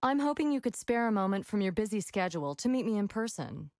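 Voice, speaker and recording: Dogged FBI agent, courteous, probing, slightly distant on mic. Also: female voice, medium pitch, big pitch swings, quick pace, darkish tone. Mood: polite. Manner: polite, probing questions. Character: persistent female FBI agent. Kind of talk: video game voice acting.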